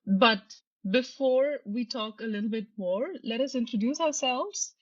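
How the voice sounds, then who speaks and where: A woman in her late thirties from India, co-hosting a casual podcast chat in a calm, measured way. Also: fairly high pitch, dry recording. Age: late 30s. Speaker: podcast co-host. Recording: dry, dull lo-fi mic.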